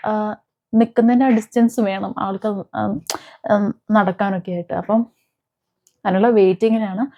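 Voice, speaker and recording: A woman talking casually to viewers as an online commentator, halting and often searching for words. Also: a little high. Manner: halting, searching for words, with frequent hesitant pauses. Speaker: YouTube commentator. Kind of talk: casual reaction vlog talk to viewers. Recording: dull, lo-fi sound.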